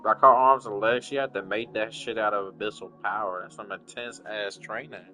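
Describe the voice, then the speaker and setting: A man's voice: on the low side, fairly even intonation, brisk, bright, forceful tone, faint music behind. A man giving casual, blunt, profanity-laced reaction commentary to a game story.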